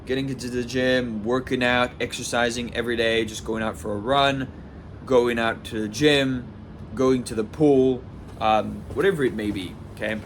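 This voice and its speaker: A man's voice: medium pitch, steady tone, moderate pace, a little hiss or hum. A man in his twenties from southwest France, talking casually to camera in a rambling personal vlog, full of restarts and "like". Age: 20s. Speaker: vlogger.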